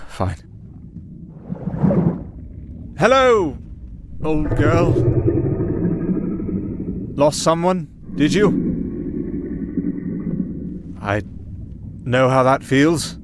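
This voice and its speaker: A man's voice: fairly high pitch, big pitch swings, very slow, long pauses, exaggerated voice. An actor playing a wry, melancholy castaway sailor and singer in an ASMR roleplay, hesitant and dryly sardonic in his chatty talk. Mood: gentle, sympathetic. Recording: clearly audible ambient noise under voice.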